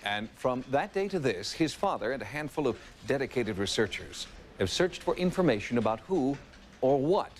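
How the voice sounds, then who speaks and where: A man's voice: mid-range voice, very animated, normal speed, slight background noise. A man narrating a documentary from a script in a dramatic style.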